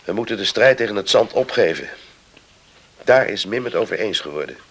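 An actor playing a brisk, practical young farm man in a radio drama, emphatic and clipped. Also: on the low side, expressive, a bit bright, slight background noise. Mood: practical, earnest. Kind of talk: Dutch radio drama acting.

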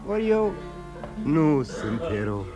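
An actor in a radio play voicing a ruined drinking man who confesses his failed life with self-loathing, halting and repeating himself. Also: on the high side, big pitch swings. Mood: bitter, self-mocking. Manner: rambling confession, halting with emotional repetitions. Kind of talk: theatrical radio drama acting. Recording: radio play with guitar music behind.

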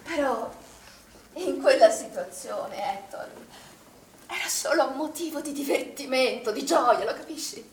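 An actress playing an anguished, doubt-ridden middle-aged woman in charge, speaking in an agitated, halting stage-drama style and repeating her phrases. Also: sits high, expressive. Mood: nostalgic, uneasy. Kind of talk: stage drama acting, emotional monologue. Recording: roomy, distant theatre recording, faint noise.

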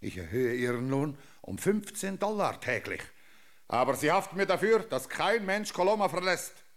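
An actor in a German radio drama playing a domineering, possessive landowner captain who gives abrupt commands and grandiose claims. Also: male voice, fairly high pitch, sing-song, normal speed. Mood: stern, bargaining. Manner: commanding, abrupt, clipped questioning.

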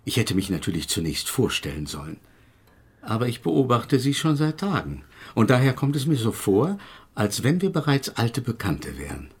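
An actor playing a self-important invisible gentleman scientist in a radio play, polite and urbane in manner. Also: mid-range voice, very animated, normal speed, slightly rough. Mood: genteel, self-satisfied. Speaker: physics professor. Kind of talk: German radio play acting.

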